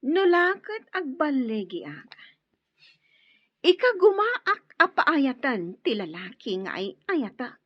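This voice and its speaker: A woman playing a possessive, scheming young woman in an Ilocano radio drama, with an Ilocano accent and drawn-out melodramatic delivery. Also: sits high, big pitch swings, no room sound, muffled recording, heavily acted.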